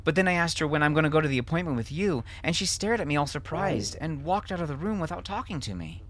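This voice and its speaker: Man voicing an anxious young boy, raised pitch. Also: expressive, fairly bright tone. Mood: worried, rushing. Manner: hesitant, then rushing earnestly. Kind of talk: audiobook character acting.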